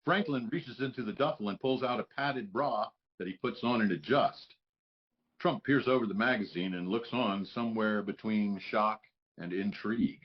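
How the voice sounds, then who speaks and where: A man's voice: on the low side, moderate pace. A man reading stage directions as scripted narration in a table read. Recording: dull lo-fi audio.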